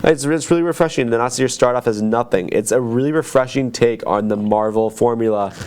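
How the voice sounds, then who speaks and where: Fast-talking man reviewing films. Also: mid-range voice, darkish tone, clean tone. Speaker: podcast co-host reviewing films. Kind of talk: casual chat.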